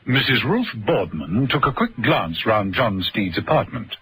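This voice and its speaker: A man's voice: on the low side, big pitch swings, a little slow, a bit bright, husky. A man narrating a scripted radio drama, telling the story between the scenes.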